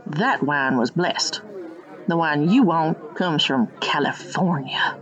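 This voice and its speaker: A woman's voice: deep voice, takes it slowly, highs cut off, crowd noise. A woman playing a pious, nostalgic small-town matriarch with a folksy, preachy manner in a satirical radio play.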